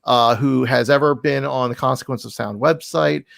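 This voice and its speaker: A male podcast host with a creaky, fry-edged voice in a mid pitch, recorded close and dry. He chats casually and effusively, rambling along and poking fun at himself. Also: steady tone, moderate pace, a bit bright, dry recording. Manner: effusive, rambling, self-deprecating. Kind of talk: casual conversational interview.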